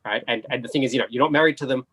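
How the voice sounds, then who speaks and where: A man speaks rapidly in a husky, mid-pitched voice. He is emphatic and blunt, like an editor giving advice on a discussion panel, and keeps checking that listeners follow. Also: fairly bright tone.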